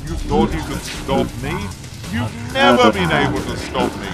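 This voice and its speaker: Gravelly, gloating villain boss played by a man. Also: fairly high pitch, big pitch swings, moderate pace, full character voice. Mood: gloating menace. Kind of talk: fan audio drama acting. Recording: loud music over the voice.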